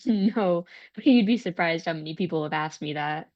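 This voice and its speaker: A woman's voice: fairly low pitch, moderate pace, a little mellow, no room sound, lo-fi sound, close to an everyday voice. An actress playing a kind, upbeat young woman in a naturalistic script read, cheerful and earnest with some hesitancy. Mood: gleeful, bright.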